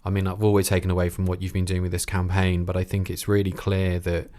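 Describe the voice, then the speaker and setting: A man hosting a conversational interview podcast, thinking aloud in a halting way. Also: sits low, completely flat delivery, mellow.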